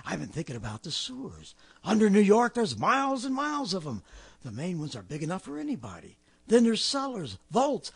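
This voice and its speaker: A raspy, ranting, high-strung survivor. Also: male voice, no room sound, full character voice. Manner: ranting, theatrical, swinging from muttering to shouting. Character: crazed, power-hungry male survivor scheming against invading Martians. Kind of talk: 1930s radio drama acting.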